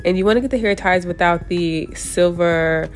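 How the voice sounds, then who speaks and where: A young woman with a somewhat low voice that sounds stuffed up and congested. She talks through a hair tutorial in a loose, chatty way while she works, stopping often to correct herself. Music plays softly in the background. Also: moderate pace. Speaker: hair vlogger.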